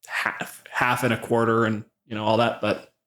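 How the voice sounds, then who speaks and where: A man's voice: lowish voice, level tone, moderate pace, a bit bright. A man in a casual, opinionated tabletop gaming chat, explaining things emphatically and swearing freely. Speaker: podcast panelist and game master.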